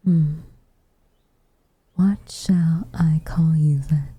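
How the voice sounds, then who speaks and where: A woman playing a reserved, tenderly affectionate witch in a whispered ASMR roleplay, with soft breathy delivery and measured, formal phrasing. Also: low-pitched, fairly even intonation, dry recording, full character voice. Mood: gentle, tentative.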